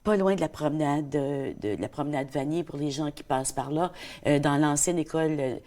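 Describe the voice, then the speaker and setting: A woman's voice: low voice, a little fast, mellow. A middle-aged woman hosting a TV interview, chatty and prompting, often rephrasing her questions. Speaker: TV host. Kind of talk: TV interview, casual conversation.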